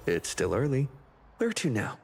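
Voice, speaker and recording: A man voicing a calm, earnest young protagonist in a video game, speaking in a measured, gentle way. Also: mid-range voice, vocal fry, soft background music. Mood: pondering.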